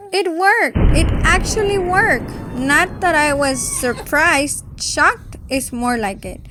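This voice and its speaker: A woman reading a children's storybook aloud in an expressive, deliberate, dramatic way. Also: sits high, noisy background.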